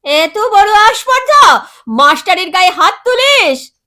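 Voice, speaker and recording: Woman acting a shrill-pitched scolding mother. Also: sing-song, unhurried, pushed and bright, full character voice. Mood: outraged. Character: scolding, exasperated mother of a naughty boy. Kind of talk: character voice in a comic audio story.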